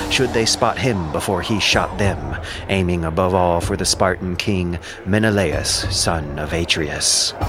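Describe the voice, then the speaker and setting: A man narrating an ancient epic as a scripted audio drama, solemn and declamatory. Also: low voice.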